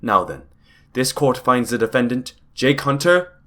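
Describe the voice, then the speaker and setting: A man's voice: medium pitch, big pitch swings, unhurried, sharp tone. A man playing a solemn, authoritative judge of a spirit court, proclaiming formally in an audio drama. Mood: solemn, commanding.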